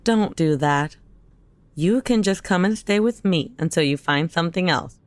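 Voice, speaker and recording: An actress plays a caring, practical young woman in an audio drama. Her voice is on the low side and recorded very dry, and she talks casually and reassuringly, as a best friend would. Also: lively intonation, normal speed, no room sound, lightly acted. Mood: concerned, practical. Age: young adult.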